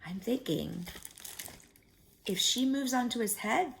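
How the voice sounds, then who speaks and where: A woman reading a story aloud to young children like a teacher, expressively, pausing to add simple explanatory comments. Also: a little high, expressive, vocal fry, slight background noise.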